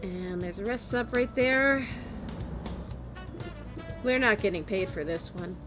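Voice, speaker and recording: A woman's voice: medium pitch, takes it slowly, music in the background. Chatty American woman with a strained voice. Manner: rambling, chatty, many fillers. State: strained, worn-out voice. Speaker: vtuber streamer. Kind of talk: casual chat while gaming.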